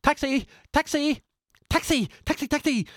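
A storyteller voicing a hurried, self-important male philosopher who shouts and then turns humble, in a read-aloud story. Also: medium pitch, expressive, no echo, studio quality, pitched above the performer's own voice, close to an everyday voice. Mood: frantic shouting.

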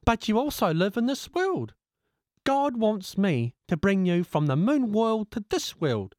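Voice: medium pitch, very animated, unhurried, darkish tone, no room sound, clean studio sound, voice pushed up. A storyteller voicing a plain-spoken male taxi driver who lectures his passenger with blunt wisdom, in a read-aloud story. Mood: wise advising.

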